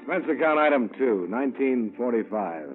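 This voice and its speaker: An American actor playing a tough, wry insurance investigator in a 1950s radio detective drama, terse and hard-boiled. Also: male voice, medium pitch, expressive, normal speed, lightly acted. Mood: wry narration. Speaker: lead actor and narrator of a detective serial. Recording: tinny vintage 1950s radio, music behind.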